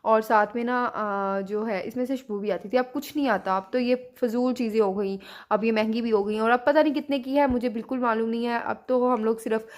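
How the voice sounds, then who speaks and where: A woman's voice: on the high side, fairly even intonation, fairly quick, few pauses. A woman in her thirties with a Pakistani Urdu accent, chatting casually in a home vlog and talking to her children. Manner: chatty, scolds and coaxes children. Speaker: vlogger and mother. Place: home and restaurant.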